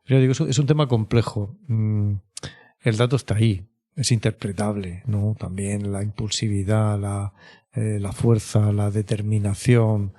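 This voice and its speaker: A man with an accent from Spain speaks as an expert interview guest, explaining in a measured, conversational way and often checking in with short tag questions. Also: lowish voice, steady tone, dark tone. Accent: Spanish from Spain. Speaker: clinical psychologist, interview guest.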